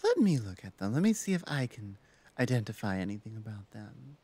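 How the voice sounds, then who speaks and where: A woman playing a confident orc shaman in an ASMR roleplay, speaking in a measured, self-assured way. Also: rumbling low, big pitch swings, mellow, slightly rough, dry recording, exaggerated voice. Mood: assured, coaxing. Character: confident orc shaman woman, the huntress's magic-wielding sister. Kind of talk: ASMR roleplay audio drama.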